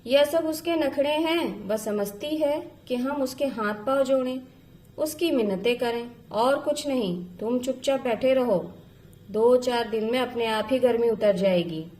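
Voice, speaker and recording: Woman voicing a sly young second wife, high-pitched. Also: a little slow, mellow, natural voice, poor audio. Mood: scornful, sly. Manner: sly, dismissive, advising. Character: scheming young second wife, coolly manipulative.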